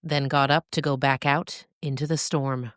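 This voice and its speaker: Low-voiced woman narrating a story. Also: fairly even intonation, normal speed, heavy background noise. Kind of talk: scripted story narration with dramatic phrasing.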